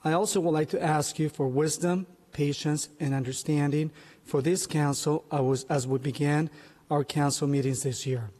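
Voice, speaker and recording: Husky man giving a solemn prayer. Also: a little high, fairly even intonation, a little mellow. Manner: slow, solemn, measured. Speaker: councilmember. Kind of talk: spoken prayer. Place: council chamber. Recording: long reverb in a council chamber.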